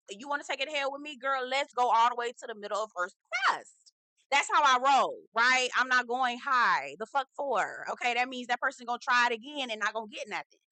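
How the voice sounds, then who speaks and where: A woman's voice: fairly high pitch, pushed and bright, no echo. A woman recapping reality TV in a sassy, blunt, casual way, swearing freely and asking "okay?" often. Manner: sassy, blunt, swearing, heavy slang, lots of "okay?" and "girl".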